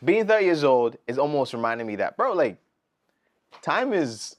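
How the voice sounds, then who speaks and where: A man's voice: on the high side, moderate pace, no echo, clean studio sound. A British man of thirty, a gospel artist talking earnestly and casually in an interview, full of slang. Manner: earnest, animated, slangy, says bro a lot.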